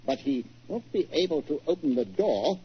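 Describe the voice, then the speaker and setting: An actor playing a courteous, bewildered English titled gentleman in a 1940s radio drama, with a husky voice and British accent. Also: a little high, sing-song, moderate pace, heavily acted. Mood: grateful, courteous. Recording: dull, lo-fi 1940s radio recording.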